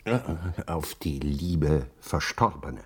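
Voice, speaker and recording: An actor playing a wry, sceptical friend in a radio play, dry and teasing. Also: male voice, very deep voice, animated, takes it slowly, slightly rough, exaggerated voice. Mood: agreeable.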